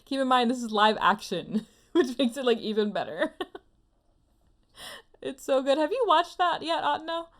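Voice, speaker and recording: A woman's voice: high voice, expressive, normal speed, a bit bright. A woman co-hosting a podcast, chatting casually in an effusive, emphatic, rambling way. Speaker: podcast co-host. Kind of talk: casual opinionated chat.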